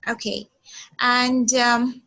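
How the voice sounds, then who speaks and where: A woman in her twenties from Bangladesh leads an English lesson in a high, light voice. She speaks slowly and carefully, restating points and checking in with "okay". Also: little pitch movement, creaky, pushed and bright. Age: 20s. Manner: slow and deliberate, repeats herself, frequent "okay". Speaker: English teacher.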